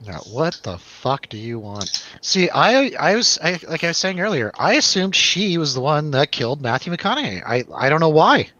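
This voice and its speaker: A man's voice: on the high side, sing-song, moderate pace, hardly any pauses, pushed and bright, dull audio. A man in casual, rambling conversation about films, telling stories animatedly and swearing freely. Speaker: film review show host. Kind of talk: casual chat, film review over drinks.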